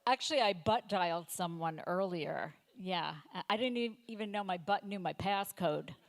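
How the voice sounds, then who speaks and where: A woman in her fifties doing a stand-up comedy set, delivering deadpan one-liners with halting fillers and self-corrections. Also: lowish voice. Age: 50s. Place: comedy night stage before a live audience.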